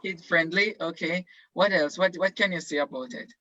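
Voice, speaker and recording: Brief: male teacher, bright and high-pitched, halting encouraging questions, dull dry video call sound. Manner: halting and encouraging, many ums, slow prompting questions. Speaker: teacher leading a class discussion. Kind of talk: online classroom discussion.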